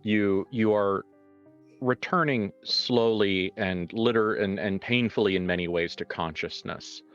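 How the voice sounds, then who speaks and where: A man improvising tabletop horror narration as game master in a conversational, halting way, reworking his phrases as he goes. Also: lowish voice, fairly bright tone. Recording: muffled lo-fi sound, music behind. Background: background music.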